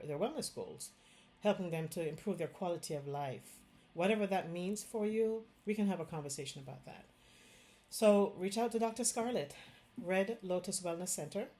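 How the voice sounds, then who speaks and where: Low-voiced Jamaican woman doctor. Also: darkish tone. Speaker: Chinese medicine doctor. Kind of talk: direct-to-camera health talk.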